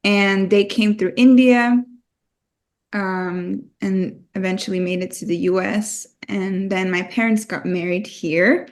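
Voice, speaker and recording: A young adult woman raised in Switzerland, talking through her family story as a podcast guest, unhurried with frequent pauses and ums. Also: medium pitch, fairly even intonation. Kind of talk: conversational interview storytelling.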